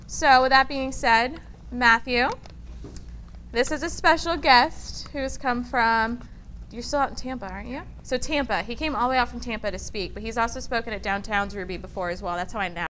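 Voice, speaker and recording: A woman's voice: on the high side, moderate pace, slight background noise. Upbeat woman hosting a tech meetup. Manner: upbeat and chatty, says she has a loud voice. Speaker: meetup organizer and host. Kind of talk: casual announcements. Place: meeting room with an audience.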